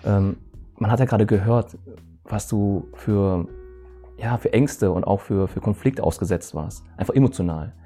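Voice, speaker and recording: A man hosting an interview, thanking his guest and asking gentle follow-up questions. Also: fairly low pitch, normal speed, husky, soft background music. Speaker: host.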